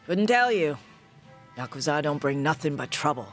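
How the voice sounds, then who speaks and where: A woman's voice: low-pitched, sing-song, moderate pace, faint music behind. An actress playing a tough, no-nonsense older woman who runs a tattoo shop in a video game, curt and guarded. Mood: curt, wary.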